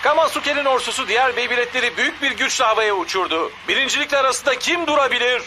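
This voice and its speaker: An actor playing an excitable tournament announcer in dubbed anime, calling the matches in a dramatic, showy way. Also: male voice, very high voice, quick pace, background noise. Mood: excited. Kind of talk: dubbed anime sports commentary. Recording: loud music behind commentary.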